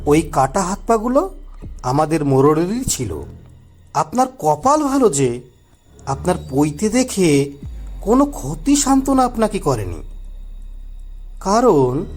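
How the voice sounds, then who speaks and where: A male actor as a knowing villager in a horror cartoon, with a slightly high, swooping voice. He explains and admonishes emphatically, like an elder of the place, over background music. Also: sing-song, slow, pauses often, darkish tone. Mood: explaining. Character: knowing village gentleman, reassuring yet scolding, telling a ghost tale. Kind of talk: dramatic cartoon story dialogue.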